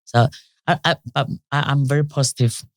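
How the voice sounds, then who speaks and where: Middle-aged woman, Chinese accent, non-native speaker, upbeat and halting interview guest, close and dry. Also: extremely low pitch, steady tone, dry recording. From China. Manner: halting, many restarts and fillers, upbeat storytelling. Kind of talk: casual interview chat.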